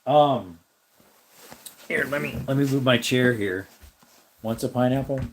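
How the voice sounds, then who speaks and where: A man with a slightly raspy voice hosts a relaxed live stream. He rambles and thinks aloud as he answers his viewers' comments. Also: mid-range voice, a little slow, a little hiss or hum. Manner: rambling, thinks aloud while looking things up.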